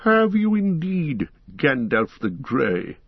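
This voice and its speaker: A man voicing a proud, scornful old male wizard who speaks in a slow, sneering way. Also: lowish voice, gravelly, slightly rough, dry recording, muffled recording, exaggerated voice. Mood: scoffing.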